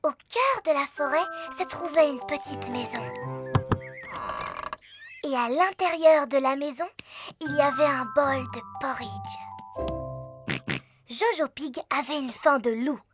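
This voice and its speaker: A performer voicing a confident, bossy, expressive little girl piglet in a children's cartoon dub. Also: sits high, measured pace, long pauses, over music. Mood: storytelling.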